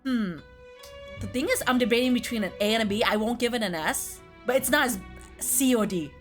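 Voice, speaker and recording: A woman's voice: a little high, fairly quick, faint music behind. A woman chatting casually as a co-host, talking in a deliberative way as if thinking aloud. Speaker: co-host ranking video game quests. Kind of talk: casual chat.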